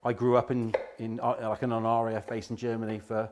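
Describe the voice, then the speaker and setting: A man's voice: on the low side, level tone, quick pace. A middle-aged man from England hosts a live cooking show, talking casually as he chops. He rambles with ums and restarts. A faint noise sits under his voice. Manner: chatty, many ums and restarts. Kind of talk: casual chat while cooking.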